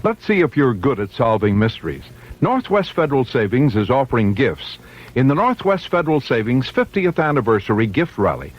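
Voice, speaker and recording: A man reading a scripted advertisement as a vintage radio commercial announcer. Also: mid-range voice. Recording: dull vintage radio recording.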